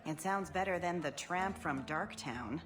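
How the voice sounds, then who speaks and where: An actress playing a brisk, sassy brothel madam in a video game, talking in a wry, familiar way. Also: female voice, lowish voice, close to an everyday voice. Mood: sly, confiding.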